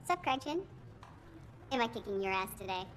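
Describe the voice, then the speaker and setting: A woman playing a cocky, sharp-tongued card player with brash, deadpan comebacks in a comedy film. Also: mid-range voice, moderate pace. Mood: wry. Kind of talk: scripted comedy film acting.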